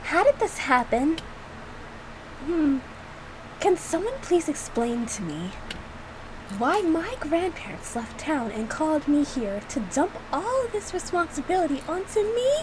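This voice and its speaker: Lively young woman reacting, noisy recording. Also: sits high, unhurried. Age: young adult. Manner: giggly, self-mocking, repeats phrases. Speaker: gaming reaction video creator. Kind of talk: casual reaction commentary with lines read aloud.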